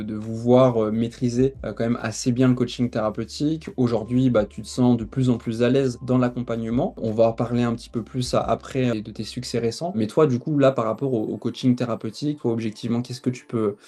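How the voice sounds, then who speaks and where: A man's voice: mid-range voice, steady tone, fairly quick, hardly any pauses, mellow. A man with a French accent hosting a casual interview in an encouraging, informal way. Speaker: interviewer, coach.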